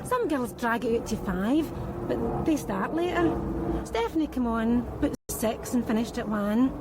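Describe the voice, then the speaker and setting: Radio drama: a wry, blunt Scottish street worker, high voice, traffic noise behind. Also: female voice, expressive, measured pace. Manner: blunt, wry, matter-of-fact. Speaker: street sex worker questioned by police.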